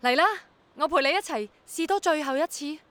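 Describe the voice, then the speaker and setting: An actress playing a spirited young woman in a radio drama, with a colloquial, expressive Hong Kong Cantonese delivery. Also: high voice, fairly quick, no room sound. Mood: earnest encouraging. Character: spirited young woman, hesitant but willing rain girl.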